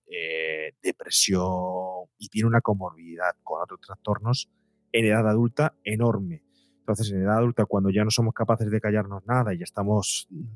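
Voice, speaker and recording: Low-voiced Spanish man explaining at length. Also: dry recording. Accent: Spanish from Spain. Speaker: guest psychologist and podcaster. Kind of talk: explanatory interview talk.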